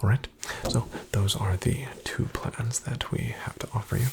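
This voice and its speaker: A man in a soft, breathy, mostly whispered ASMR roleplay as a financial advisor, speaking with reassuring, salesman-like politeness and hesitant pauses. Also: mid-range voice, fairly even intonation. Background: computer keyboard typing.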